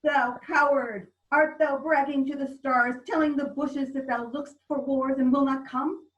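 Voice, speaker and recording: A woman's voice: high-pitched, steady tone, a little slow. Muffled and a little far from the mic, a woman plays a mischievous goblin servant of the fairies. The verse is theatrical and teasing, as in an amateur Shakespeare reading on a video call. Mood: taunting. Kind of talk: Shakespeare table read in verse.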